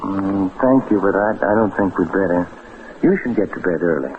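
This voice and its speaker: Dutiful young male aide, low and deferential, slightly hesitant, tinny old radio drama sound. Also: lively intonation, normal speed, a little hiss or hum. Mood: mild, deferential. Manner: hesitant, respectful. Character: polite, dutiful young law assistant, mild and deferential.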